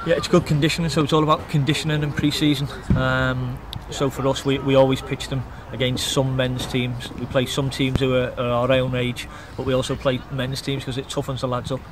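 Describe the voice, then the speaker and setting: A middle-aged man, a youth football coach giving a measured, matter-of-fact post-match interview outdoors. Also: medium pitch, little pitch movement, noisy background. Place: outdoors beside a grass football pitch.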